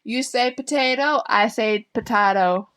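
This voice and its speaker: A woman's voice: a little high, a little slow, fairly bright tone, clear, resonant tone. A woman co-hosting a podcast in casual chat, a little tipsy, teasing and giggling. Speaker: podcast co-host.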